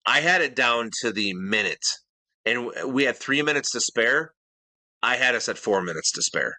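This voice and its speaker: A man's voice: mid-range voice, lively intonation, normal speed, pushed and bright, dry recording. A middle-aged man co-hosting a casual podcast chat, earnest and detail-minded, often restarting his sentences. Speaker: podcast co-host.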